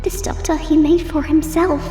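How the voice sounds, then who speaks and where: A woman's voice: high-pitched, level tone, normal speed, mellow, soft background music, natural voice. A woman voicing a sinister, obsessive robot girl who yearns for a father's love, delivered as a dramatic comic dub monologue. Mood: wistful, eerie.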